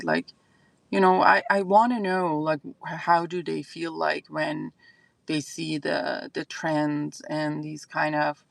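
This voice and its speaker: A woman's voice: deep voice, creaky, dry recording. A woman from Iran with an Iranian accent, whose first language is Azeri, hosting a casual livestream chat and thinking aloud with lots of fillers. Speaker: fragrance channel host.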